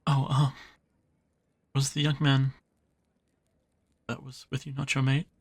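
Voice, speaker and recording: Man playing a timid, husky little creature. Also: mid-range voice, monotone, takes it slowly. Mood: nervous, pleading. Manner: hesitant, stammering. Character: timid, apologetic small male creature seeking sanctuary. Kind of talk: audio drama acting.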